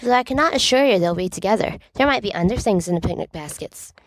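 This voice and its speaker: A woman playing a dramatic, mischievous teenage boy in a storybook reading, with an excitable, playful delivery. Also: mid-range voice, fast talker, no room sound. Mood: eager, playful.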